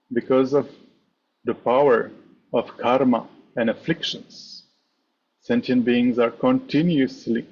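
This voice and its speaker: A man leading a guided meditation as a teacher, speaking in measured, instructive short phrases with pauses. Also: mid-range voice, a little mellow, dull audio, a little hiss or hum. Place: online meditation session over video call.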